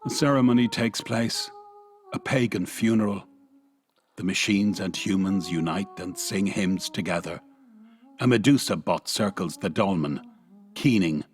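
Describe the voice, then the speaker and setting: A man's voice: sits low, measured pace. A man reading scripted, dramatic narration for a radio play.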